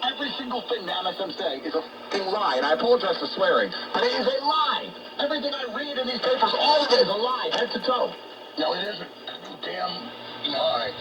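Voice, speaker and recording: A man's voice: sits high, animated, fairly quick, over a noisy crowd. A man talking politics as a guest on a talk show, in an emphatic, ranting manner, heard over shortwave radio. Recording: noisy shortwave radio broadcast, distant.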